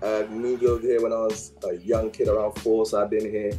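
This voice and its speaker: A man's voice: lowish voice, flat delivery, fairly quick, warm tone, soft background music. A man from Zimbabwe co-hosting a sports podcast, easygoing and chatty. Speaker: podcast co-host. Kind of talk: casual chat.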